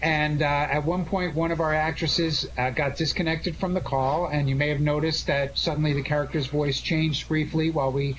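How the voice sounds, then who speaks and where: A man with a slightly high voice hosts a live radio interview. He is effusive and conversational as he addresses his guests. There is faint noise on the recording. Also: level tone, moderate pace, hardly any pauses, a bit bright, poor audio. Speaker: radio show host. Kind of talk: live interview with cast after a show.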